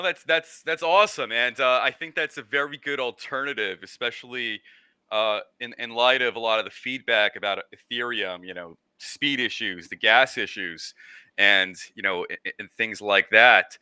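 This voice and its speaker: A man hosting a casual interview, feeling his way through long, halting, rambling questions. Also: mid-range voice, bright, forceful tone, clean tone, dry recording. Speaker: interviewer, host.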